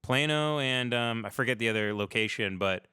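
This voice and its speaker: Bright, clear-voiced man chatting casually. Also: mid-range voice, moderate pace, no room sound, studio quality. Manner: rambling, profane, irreverent storytelling. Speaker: livestream show host. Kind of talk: casual comedic chat.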